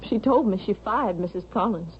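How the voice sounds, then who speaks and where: An actress playing an earnest young married daughter in a vintage radio drama, speaking emphatically. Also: female voice, mid-range voice, animated, brisk, a little hiss or hum, close to an everyday voice. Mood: worried. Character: earnest, defiant young married daughter, loyal to her husband. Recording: dull vintage radio recording.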